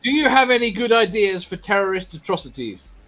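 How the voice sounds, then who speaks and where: A man's voice: high-pitched, sing-song, fairly quick, a bit bright. A man putting questions to a casual group chat, playful and provocative.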